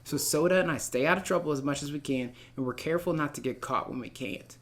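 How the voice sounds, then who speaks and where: A man teaching literature in a read-aloud lesson, speaking in an expressive, informal and encouraging way. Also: fairly high pitch, quick pace. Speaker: middle school literature teacher. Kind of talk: read-aloud lesson, narrating a novel and addressing students.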